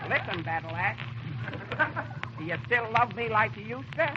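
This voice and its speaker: An actor playing a grouchy, frail old husband in a slow, drawn-out 1930s radio comedy sketch. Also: male voice, high-pitched, lively intonation, dull audio. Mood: grumbling. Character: grouchy, frail old husband, weary of his battle-axe wife. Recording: noisy, crackly 1930s radio recording.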